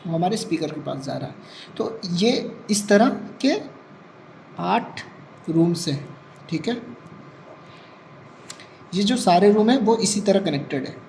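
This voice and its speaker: A man's voice: high voice, expressive, measured pace, gravelly, pauses often, darkish tone, a little hiss or hum. A man presenting a practical tech demonstration step by step, repeating points and mixing English terms into his speech.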